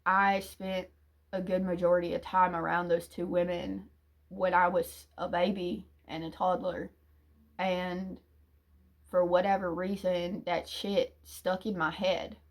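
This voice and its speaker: A woman in a confessional monologue, talking hesitantly and emotionally with many restarts and sighs, sometimes dropping to a quiet low mumble. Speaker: YouTuber.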